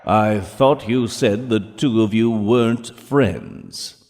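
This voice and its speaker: An actor playing a stern, menacing ruler of Hell in an audio drama, speaking in a measured, commanding and pompous way. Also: male voice, fairly low pitch, a little mellow. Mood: suspicious, threatening. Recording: long hall reverb.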